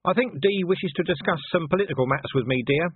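Man acting a dry, shrewd commander, husky. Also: on the high side, sing-song, brisk, no room sound, narrow, tinny audio, pitched above the performer's own voice. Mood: smooth. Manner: smooth, pointed, needling. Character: shrewd, dry, sardonic male city commander. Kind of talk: audiobook character voice.

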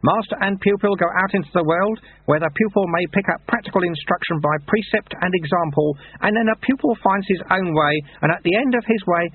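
A man voicing an ancient, calm monk teacher who speaks with dry, patient irony. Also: high-pitched, a little fast, few pauses, breathy edge, no echo, pitched above the performer's own voice. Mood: calm wry. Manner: dry, patient, gently ironic. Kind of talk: audiobook character reading. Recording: dry, tinny phone-like sound.

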